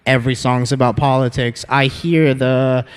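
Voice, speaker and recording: A man's voice: medium pitch, fairly even intonation, moderate pace, clean tone. Rambling man in a reverberant hall. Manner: loose, rambling, with many fillers. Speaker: MC giving a workshop. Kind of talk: casual talk to an audience. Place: conference hall.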